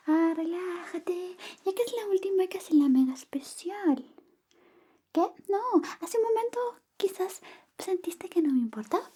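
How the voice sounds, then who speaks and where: A woman acts out a young female best friend, secretly in love, in an ASMR roleplay. The voice is high and very mellow, slipping between murmur and whisper. The delivery is slow, coy and teasing, with a caring streak. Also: full character voice. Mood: tender, wistful. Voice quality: soft, whispery, high-pitched. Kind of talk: ASMR personal attention roleplay.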